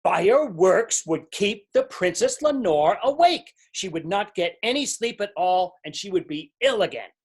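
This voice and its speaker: Amateur reader as an anxious, gloomy king, swooping pitch. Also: animated, normal speed, few pauses, breathy edge. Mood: exasperated. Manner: exasperated, emphatic, mournful. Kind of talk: readers theater storytelling.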